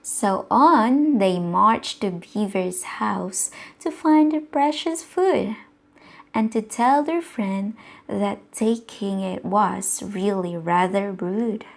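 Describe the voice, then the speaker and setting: A woman with a Filipino accent reading a rhyming bedtime story, gently asking the children questions. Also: mid-range voice, vocal fry. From Philippines. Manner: slow, gentle, asks the listener questions. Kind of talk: bedtime story read-aloud for kids.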